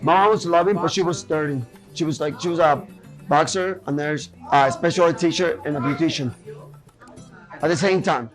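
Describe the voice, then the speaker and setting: A man's voice: fairly high pitch, normal speed, faint music behind, poor audio. A man from Puerto Rico, a boxer and gym owner, speaking earnestly and candidly in a documentary interview, often adding "you know what I mean".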